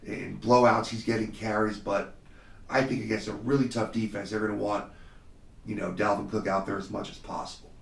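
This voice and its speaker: Male podcast host, slightly hoarse, low-ish voice, casual and confident with lots of fillers. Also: flat delivery, a little fast, reverberant, far from the mic. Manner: confident, conversational, many fillers. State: slightly hoarse, under the weather. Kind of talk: solo fantasy football podcast talk.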